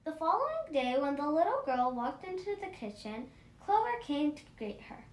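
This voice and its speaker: A woman reading a children's story aloud in an expressive, animated manner. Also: normal speed. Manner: animated, acts out dialogue. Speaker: storybook reader for a children's book club. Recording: roomy echo, faint rumbling noise.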